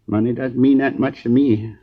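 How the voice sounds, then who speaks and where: An American man playing an injured, groggy storekeeper in his sixties, rambling haltingly and earnestly in a 1950s radio crime drama. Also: medium pitch, steady tone, close to an everyday voice. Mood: earnest, weary. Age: 60s. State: groggy, in pain. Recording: dull old 1950s radio recording.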